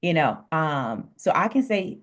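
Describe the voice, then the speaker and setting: A woman's voice: deep voice, unhurried. A woman co-hosting a podcast, talking frankly and telling stories in a casual chat. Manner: frank, animated storytelling, affirming listener. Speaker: podcast co-host, registered nurse.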